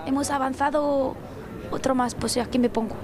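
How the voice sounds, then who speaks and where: A woman's voice: high-pitched, steady tone, moderate pace, crowd noise. A woman working as a comic reporter, teasing people in a queue with a handheld mic. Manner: teasing, mischievous. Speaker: comedy reporter. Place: busy city street outdoors. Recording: street noise with traffic.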